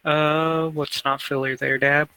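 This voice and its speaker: A man co-hosting a casual gaming discussion, speaking in a halting way. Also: fairly high pitch, little pitch movement, sharp tone, smooth, no echo. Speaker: podcast co-host.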